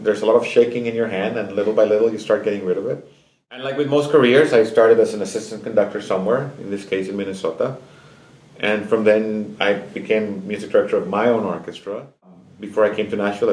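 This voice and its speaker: Low-voiced man in an echoey hall. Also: fairly even intonation, fast, slight background noise. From Nicaragua. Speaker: orchestra music director. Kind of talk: relaxed interview answers.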